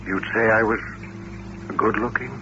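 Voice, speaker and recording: An actor in a 1950s radio drama playing a lonely, quietly insistent male mutant hitchhiker who controls minds. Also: on the low side, steady tone, a little slow, highs cut off, close to an everyday voice. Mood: guarded, probing. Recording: noisy, band-limited vintage radio recording.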